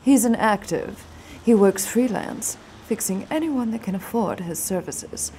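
A woman's voice: mid-range voice, animated, measured pace, mellow, a little hiss or hum. A woman playing a wealthy, poised young wife in a noir audio drama, speaking in a composed, cool way. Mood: poised, discreet. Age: 20s.

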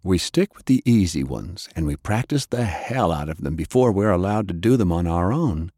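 A man's voice: low voice, animated, normal speed, warm tone, no echo, clean studio sound. A man voicing an easygoing, plain-talking male magic knight who explains things conversationally, in an audiobook. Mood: explaining.

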